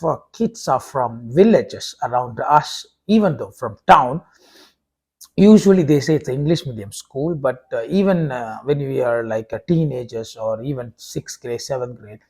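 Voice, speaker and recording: Husky man, halting talk. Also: mid-range voice, expressive, darkish tone. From India. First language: Tamil. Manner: halting, many uh fillers and restarts. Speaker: vlogger and preacher. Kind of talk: casual talk to camera.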